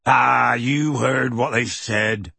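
A gruff half-giant gamekeeper, blunt and stubborn, voiced by a man in a testy, outspoken storybook reading. The voice has a slightly husky edge. The recording is close and dry. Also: a little high, lively intonation, measured pace, fairly bright tone, no room sound, exaggerated voice.

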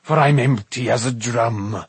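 A massive, hearty warrior king from a storybook. He blusters and bellows in a husky voice. His words come slow and weighty. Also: mid-range voice, no room sound. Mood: boisterous. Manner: blustering, drawn-out delivery. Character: huge, booming, hearty old warrior king.

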